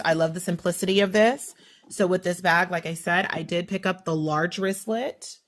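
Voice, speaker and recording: A woman's voice: lowish voice, fairly bright tone. A woman with a slight cold and a sniffle, chatting through a shopping haul and correcting herself as she goes. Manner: chatty, self-correcting, detailed. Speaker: haul vlogger. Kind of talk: casual product haul walkthrough.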